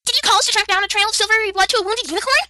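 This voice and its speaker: A woman playing a cheeky, excitable young schoolgirl in an audiobook, with an indignant, dramatic manner. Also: extremely high pitch, racing, dry recording, clean studio sound, full character voice. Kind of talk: audiobook character acting.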